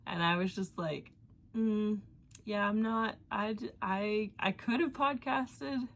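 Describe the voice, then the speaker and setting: A woman's voice: mid-range voice, slow pace, a bit bright. A young woman hosting a knitting podcast from her home, chatting casually in a rambling way with fillers and a somewhat congested voice. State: congested from allergies. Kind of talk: casual chat.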